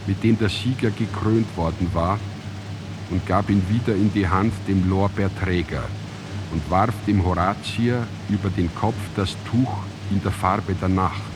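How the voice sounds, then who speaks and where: An actor as the grave narrator of an ancient tragedy in a radio drama, reciting in a measured, solemn, declamatory way. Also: male voice, sits low, poor audio. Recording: music under the voice. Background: music.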